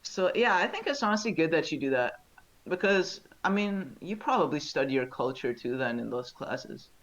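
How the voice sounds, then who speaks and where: Teen male co-host, animated curious questioner, slightly muffled lo-fi sound. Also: on the high side, moderate pace. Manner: asks questions, lively and thoughtful, searches for words. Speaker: podcast co-host. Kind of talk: casual chat.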